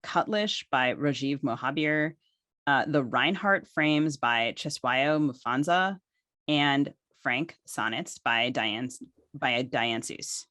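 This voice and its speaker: A woman's voice: extremely low pitch, slow, fairly bright tone, no echo. Woman co-host, close dry sound. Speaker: podcast co-host. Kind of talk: reading out a list conversationally.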